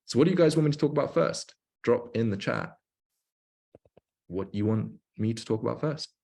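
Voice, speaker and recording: A man's voice: medium pitch, lively intonation, moderate pace, vocal fry, mellow, slightly rough. A man in his twenties hosting a livestream, coaching in an informal, explanatory way with emphatic repetition. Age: 20s. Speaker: livestream host, online business coach. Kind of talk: casual livestream talk and coaching.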